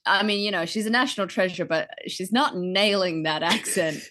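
A woman's voice: medium pitch, normal speed, pushed and bright. A woman chatting casually as a podcast guest, often laughing mid-sentence and adding self-deprecating asides. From Australia. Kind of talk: casual chat.

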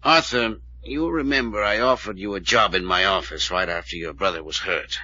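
A proper, stern family attorney in an old radio serial. The voice is very forceful and raspy, formal in its scolding. The recording is muffled and aged. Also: male voice, deep voice, moderate pace, dry recording, heavily acted. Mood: reproving. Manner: formal, reproving. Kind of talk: old-time radio drama acting.

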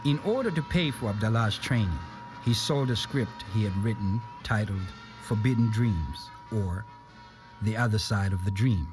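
A man's voice: fairly low pitch, animated, moderate pace. A man reads scripted documentary narration in the role of narrator. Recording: music behind the voice. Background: music.

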